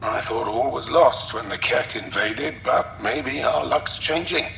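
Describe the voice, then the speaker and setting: A man's voice: mid-range voice, a little slow, gravelly, fairly bright tone. A man playing a wary, scornful alien settler recording an audio log in a video game. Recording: roomy, distant log playback, faint noise.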